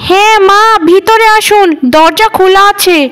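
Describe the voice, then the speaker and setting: A woman's voice: very high voice, a little slow, fairly bright tone, faint music behind. A woman voicing a spoiled, scheming young cartoon daughter-in-law with a whiny, haughty, defiant manner. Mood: feigned politeness. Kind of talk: Bengali cartoon voice acting.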